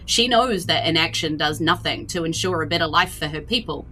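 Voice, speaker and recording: A woman's voice: low-pitched, a little fast, sharp tone, faint music behind, rough recording. A woman with a New Zealand accent, hosting and narrating as game master on a tabletop roleplaying podcast.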